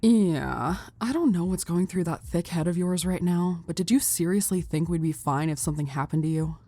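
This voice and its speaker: A woman's voice: on the low side, normal speed, dark tone, no echo. A woman voicing a tough, brash young female brawler who talks bluntly and teases. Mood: upset. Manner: teasing, blunt, cocky. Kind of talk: fan audio roleplay.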